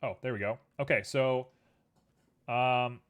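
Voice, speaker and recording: A man's voice: medium pitch, takes it slowly, clean tone. A young man streaming live, chatting casually and rambling to his viewers while building a 3D scene. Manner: relaxed, rambling, talks to chat and to objects on screen.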